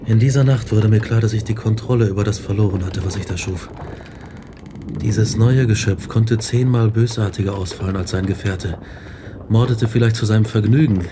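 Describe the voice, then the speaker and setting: Low-voiced, haunted scientist played by a man. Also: noisy background, close to an everyday voice. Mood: despairing. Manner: grave, measured, brooding. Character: haunted, despairing male scientist confessing his creation. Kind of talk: radio drama narration.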